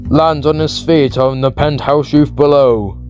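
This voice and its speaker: A man's voice: a little high, steady tone, measured pace, a little mellow. A man reading scripted, dramatic comic book narration as the storyteller. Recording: music clearly behind the voice.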